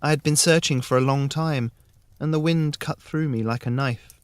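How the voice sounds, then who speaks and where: A man narrating a scripted fairy tale in the first person, measured and with dramatic pauses. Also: on the high side, clean tone, no echo. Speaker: story narrator.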